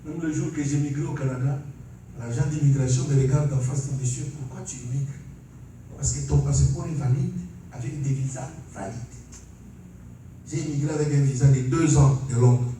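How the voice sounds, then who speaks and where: A man's voice: medium pitch, fairly even intonation, moderate pace, warm tone, slight background noise. A man from Congo preaching a sermon and telling his testimony, calling on the congregation to say amen. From Congo (DRC). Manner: calls for amens, laughs while preaching. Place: large church hall. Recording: echoey hall from far off.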